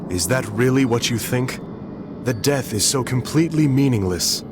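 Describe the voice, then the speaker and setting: An actor playing a grave, questioning adventurer in a video game cutscene, speaking in a measured, formal way. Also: male voice, medium pitch, expressive, background noise, lightly acted. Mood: probing, grave. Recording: loud music behind the voice.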